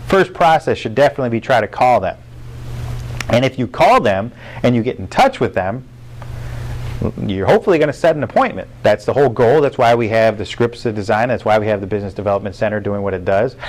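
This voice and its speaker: Middle-aged American male sales trainer, mid-pitched voice, instructional, emphatic, driven by rhetorical questions. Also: rough recording. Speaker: car dealership sales trainer. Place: meeting room with rows of empty chairs.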